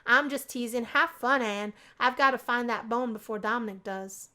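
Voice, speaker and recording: A woman voicing a nervous, teasing young female housemate in a visual novel, with an excitable manner. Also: fairly high pitch, moderate pace. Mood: curious, teasing.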